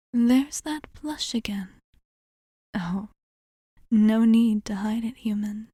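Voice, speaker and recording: A woman playing a courtly, teasing vampire bartender in an ASMR roleplay, speaking in a soft, breathy half-whisper close to the microphone. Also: on the high side, dry recording, exaggerated voice. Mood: flirtatious. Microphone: close microphone, dry.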